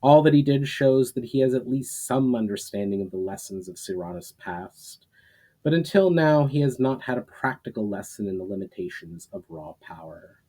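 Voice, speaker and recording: A man voicing a wise, mysterious man in a storybook, speaking calmly and formally as a knowing old friend. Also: medium pitch, moderate pace, lightly acted, rough recording. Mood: calm, thoughtful.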